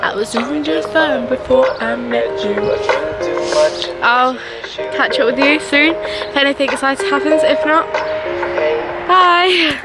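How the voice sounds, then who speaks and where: A woman's voice: high voice, a little slow, long pauses, loud music behind the voice. A young woman vlogging outdoors in a park, chatty and giggly, filming on a phone at arm's length. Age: young adult. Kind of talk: casual vlog chat. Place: outdoor park on a housing estate. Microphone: phone camera at arm's length.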